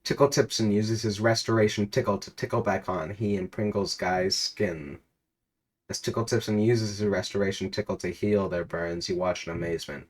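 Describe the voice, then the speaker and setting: A man giving a mock-dramatic, deadpan reading of a text adventure. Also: lowish voice. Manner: deadpan narration, prone to laughing fits.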